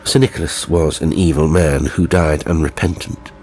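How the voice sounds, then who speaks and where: A man narrating a horror story in a measured, grave, literary storytelling style. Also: a bass voice, fairly even intonation, a little mellow, a little hiss or hum. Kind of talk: scripted dramatic storytelling narration.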